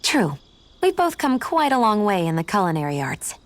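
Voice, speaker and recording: A woman playing a composed, quietly affectionate young adventurer in a video game, with a gently teasing manner. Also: mid-range voice, very animated, normal speed, natural voice. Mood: warm, wistful. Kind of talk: video game cutscene acting.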